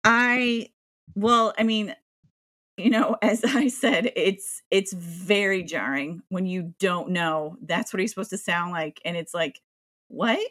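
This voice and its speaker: A woman's voice: medium pitch, slow, a bit bright, no room sound, studio quality. A woman co-hosting a casual film podcast, talking in a rambling way with many fillers. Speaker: podcast co-host.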